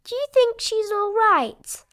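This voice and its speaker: A woman voicing an excited, curious, chatty young piglet girl from a children's storybook. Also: very high voice, slow, voice pushed up, full character voice. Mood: hopeful.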